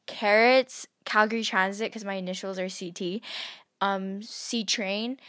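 Teen girl chatting at home, kinda high voice, giggly and self-mocking, dry close sound. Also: no echo. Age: teens. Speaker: podcast host. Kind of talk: casual rambling monologue. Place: home bedroom at night.

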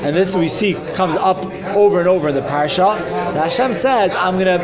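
Male Torah teacher, high voice, walks students through a text with questions; lo-fi, noisy room. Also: fairly quick. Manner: explains step by step with rhetorical questions. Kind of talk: informal Torah class. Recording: dull lo-fi recording with loud crowd.

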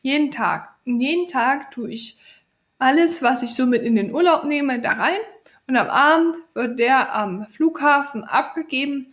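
A woman's voice: sits high, moderate pace, a bit bright. A woman with a cold hosting a podcast, giving an earnest motivational monologue straight to the listener. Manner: earnest, coaching, addresses listener directly. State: has a cold. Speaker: podcast host.

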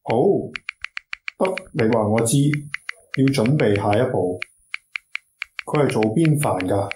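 A man's voice: medium pitch, expressive, takes it slowly. A man acting a blunt, suspicious office schemer in a radio drama, speaking slangy Hong Kong Cantonese. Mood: blunt, probing. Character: blunt, suspicious man checking up on shady jobs. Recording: roomy echo with clear background noise.

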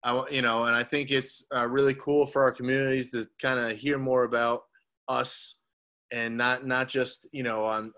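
Bright-voiced man hosting a casual chat. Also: medium pitch. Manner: loose, improvised, trailing off as he wraps up. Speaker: host.

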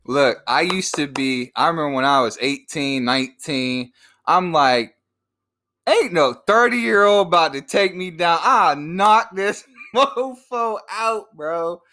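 Animated, laughing young podcast host. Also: male voice, fairly high pitch, slow pace. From born in Georgia, raised in Virginia. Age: 20s. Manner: laughs often, animated, rambling restarts. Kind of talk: casual unscripted podcast chat.

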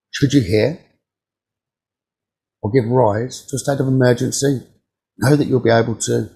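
Man, meditation teacher, medium pitch, soft mellow tone, calm soothing guidance, frequent pauses. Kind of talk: guided meditation.

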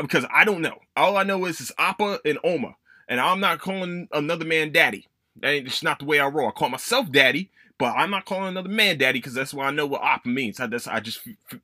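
A man's voice: on the high side, lively intonation, a little fast, pushed and bright, no echo, studio quality. Rambling, profane man on a podcast. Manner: rambling, profane, self-correcting. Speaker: podcast host. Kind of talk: casual solo podcast chat.

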